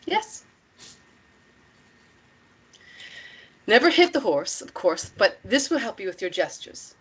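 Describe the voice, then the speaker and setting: A woman playing a commanding, measured female cult leader in a screenplay reading, instructive and deliberate. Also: mid-range voice, very animated, slightly rough. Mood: encouraging.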